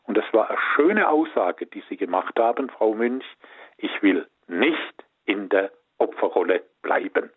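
A man counseling listeners, his voice slightly husky and dry. He reassures and stresses key points gently. He comes through a narrow, phone-like line. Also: lowish voice, animated, unhurried, dry recording. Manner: warm, reassuring, gently emphatic. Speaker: pastoral counselor, studio guest expert. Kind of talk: advice on a call-in show.

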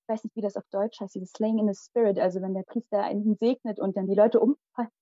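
A young woman whose first language is Malayalam, telling a personal story in a lively, dramatic way as an interview guest. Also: mid-range voice, quick pace, dry recording, muffled recording.

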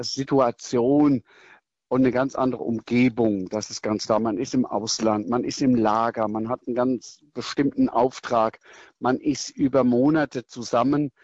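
A middle-aged man, a military chaplain, answering interview questions in a thoughtful, measured way with hesitations and restarts. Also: mid-range voice, fairly even intonation, a little mellow, no echo, dull audio.

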